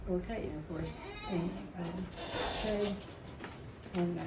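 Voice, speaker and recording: Elderly woman speaking haltingly, echoey and distant. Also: lowish voice, steady tone. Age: 70s. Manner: rambling, halting, emotional. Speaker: widow speaking at a memorial. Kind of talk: informal personal tribute, storytelling. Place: indoor family memorial gathering. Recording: echoey, distant mic, noisy gathering room.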